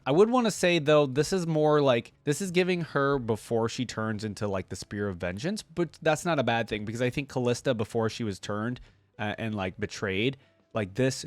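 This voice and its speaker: A man gives casual reaction commentary at an ordinary male pitch. The recording is dry and close, with hardly any room sound. He talks informally, thinking aloud as he shares opinions or reads along. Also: no echo. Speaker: reaction channel host.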